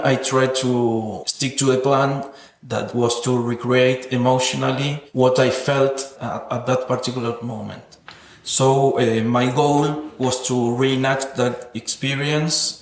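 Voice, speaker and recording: A man's voice: medium pitch, little pitch movement, measured pace. A man speaking reflectively and earnestly as an interviewed artist, with hesitant, non-native phrasing. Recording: roomy, echoing sound.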